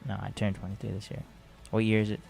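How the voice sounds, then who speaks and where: A man's voice: lowish voice, completely flat delivery, quick pace, creaky, a little mellow, faint music behind. Teasing young man hosting a radio talk show. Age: 20s. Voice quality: teasing, loose and rambling.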